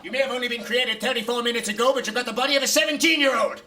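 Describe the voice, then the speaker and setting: A man's voice: very high-pitched, fairly even intonation, rapid-fire, background noise. A man playing a pompous, exasperated middle-aged scientist and father, sarcastic and theatrically indignant in a live sci-fi radio comedy. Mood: outraged. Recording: roomy distant stage pickup, music behind.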